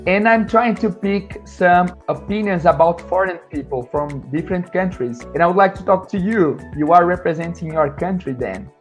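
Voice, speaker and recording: A man's voice: sits high, lively intonation, normal speed, few pauses, faint music behind. A man, an English teacher interviewing people in casual English.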